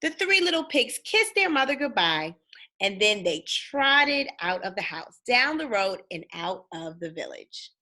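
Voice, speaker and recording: Animated, bright-voiced woman reading a storybook. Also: medium pitch. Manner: expressive, pausing to ask children questions. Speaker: storybook reader. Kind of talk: animated read-aloud of a children's storybook.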